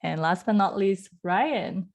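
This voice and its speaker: A woman's voice: mid-range voice, takes it slowly. A woman from Hong Kong hosting an online group session over a video call, chatty and friendly. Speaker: host.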